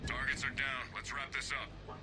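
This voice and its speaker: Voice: medium pitch, flat delivery, normal speed, close to an everyday voice. An actor voicing a terse military squad fighter calling out combat alerts in a video game. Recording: game audio under music.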